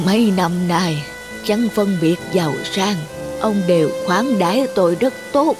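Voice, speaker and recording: A woman's voice: medium pitch, over music, natural voice. Woman voicing a humble old tea master. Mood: humble polite. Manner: slow, courteous, old-fashioned speech. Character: poor humble old man tea connoisseur. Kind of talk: dubbed cartoon folktale acting.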